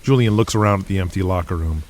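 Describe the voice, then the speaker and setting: A man's voice: sits low, fast talker, a little hiss or hum. A man narrating a scripted audio drama in a dramatic storytelling style. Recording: loud music behind.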